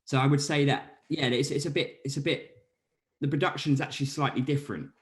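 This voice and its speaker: Measured British musician, man. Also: mid-range voice, fairly even intonation. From Britain. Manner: measured, thoughtful, some stumbles. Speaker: band member. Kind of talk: casual interview answers.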